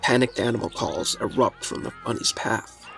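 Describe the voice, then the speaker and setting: A man's voice: mid-range voice, normal speed, creaky, fairly bright tone. A man narrating a scripted fantasy story in the present tense as its narrator. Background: faint music.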